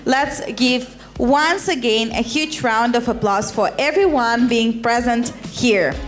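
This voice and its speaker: High-pitched woman presenting on stage. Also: takes it slowly, over music. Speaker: co-host of a startup TV show. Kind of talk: formal event presenting. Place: stage of a live televised forum with audience.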